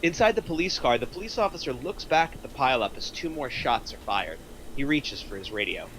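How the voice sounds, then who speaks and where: A man's voice: mid-range voice, expressive, fairly quick, fairly bright tone, slight background noise, poor audio. A man narrating, reading out screenplay stage directions at a table read.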